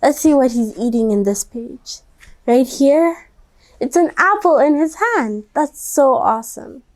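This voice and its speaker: A woman hosting a children's read-aloud, speaking directly to young listeners in a gently instructive way. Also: high voice, lively intonation, measured pace. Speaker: storybook reader and host.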